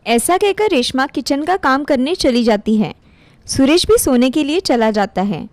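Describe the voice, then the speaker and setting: A woman's voice: high voice, expressive, a little slow, a little mellow, no echo. A woman reading scripted story narration as the narrator of a moral tale.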